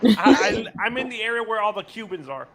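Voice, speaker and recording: A man's voice: sits high, sing-song, fairly quick, a crowd in the background. A man from Miami explaining where he grew up in a casual chat, hesitant and repeating words. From Kendall, Miami, Florida.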